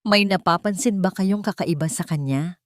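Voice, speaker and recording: A woman plays a wealthy father in an audio drama, anguished and urgent. He speaks in a commanding way, in a lowish register with a dry, close sound. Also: moderate pace, dry recording, natural voice. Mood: grave, probing. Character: anguished billionaire father barking urgent orders.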